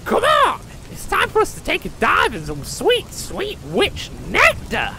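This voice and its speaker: A crude, excitable little cartoon gnome, with a high, creaky voice. The delivery is exclamatory and stammering, swinging wildly in pitch. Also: unhurried, full character voice. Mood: gleeful. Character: excitable foul-mouthed gnome potion-thrower, gleefully crude. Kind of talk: comedic animated fantasy voice acting. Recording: echoey sewer-like reverb with noise.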